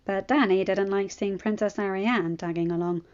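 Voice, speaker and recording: A woman's voice: mid-range voice, steady tone, normal speed, a little mellow. Woman narrating, slightly muffled sound. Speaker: audiobook reader. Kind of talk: scripted fan fiction narration.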